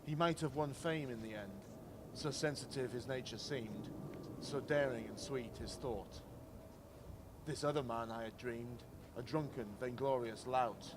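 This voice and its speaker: Clear urban noise with vehicles surrounds a man reciting verse outdoors. His voice sits at a middle pitch for a man. He phrases the lines in a measured, declamatory way, as a reader at a public commemoration. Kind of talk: poetry recitation. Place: outdoors in a city square.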